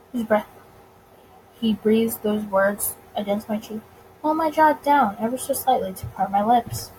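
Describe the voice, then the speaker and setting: A woman reading a visual novel aloud as a let's player, expressively and with dramatic pauses. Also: on the high side, slight background noise, low-quality recording. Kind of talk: expressive story reading with reactions.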